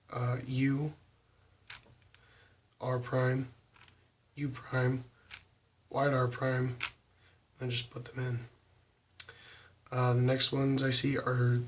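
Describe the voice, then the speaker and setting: A slightly hoarse young man casually talking through how he solves a puzzle cube, halting with lots of ums. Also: medium pitch, recorded from a distance, slight background noise. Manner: halting, many ums, soft and quiet. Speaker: YouTube cubing channel host. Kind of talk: casual tutorial walkthrough.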